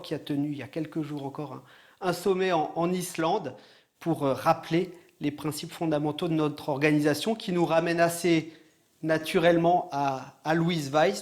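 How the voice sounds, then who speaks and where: A man, a lawyer, speaking in an earnest, slightly halting way in an interview. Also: fairly high pitch, a little mellow. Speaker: lawyer at a European institution. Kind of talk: interview answer.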